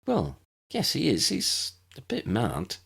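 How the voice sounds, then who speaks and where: A man voicing a helpful, chatty older schoolgirl prefect in an audiobook, briskly and kindly explaining things. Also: mid-range voice, wildly swooping pitch, creaky, a bit bright, husky, pitched above the performer's own voice, full character voice. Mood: friendly, explaining. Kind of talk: audiobook character voice.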